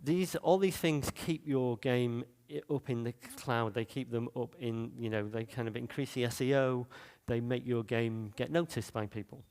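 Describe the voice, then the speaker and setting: A man's voice: medium pitch, steady tone, normal speed, few pauses, darkish tone, smooth, long reverb tail. A man with a Manchester accent giving a conference talk in a loose, chatty way, with many fillers and restarts. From Manchester, England. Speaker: conference speaker.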